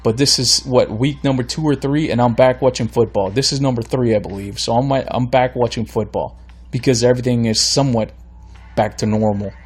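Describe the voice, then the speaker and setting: A man's voice: medium pitch, fairly even intonation, a little fast, husky, faint music behind. A man from Florida hosting his own podcast, ranting bluntly and with exasperation while he is losing his voice. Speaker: podcast host. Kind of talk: solo podcast rant.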